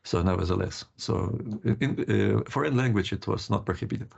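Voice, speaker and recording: Older man, interview guest, very gravelly husky voice, halting delivery, muffled call audio. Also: lowish voice, darkish tone. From Lithuania. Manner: halting, many restarts and laughs. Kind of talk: casual interview.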